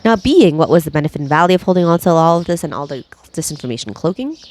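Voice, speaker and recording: A woman leading a guided energy clearing session, speaking slowly and soothingly, with repeated cues and breathy sighs. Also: deep voice, animated, a little mellow. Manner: slow, soothing, repetitive guiding with breathy sighs. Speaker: energy healing facilitator.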